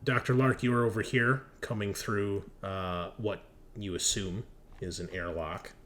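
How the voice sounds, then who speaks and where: A man improvising narration as game master of a horror tabletop game, halting with drawn-out pauses as he describes scenes. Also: on the low side, fairly even intonation, fairly bright tone.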